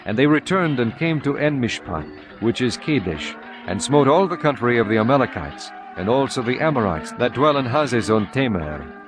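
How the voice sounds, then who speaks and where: A man reading scripture aloud as a narrator, slow, measured and solemn. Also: medium pitch, very animated, music in the background.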